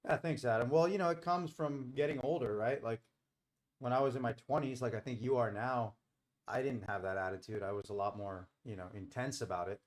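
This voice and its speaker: Middle-aged man, livestream host, informal, rambling explanations, medium-pitched voice. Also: fairly even intonation, a little fast. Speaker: live stream host and trader. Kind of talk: casual live-stream chat.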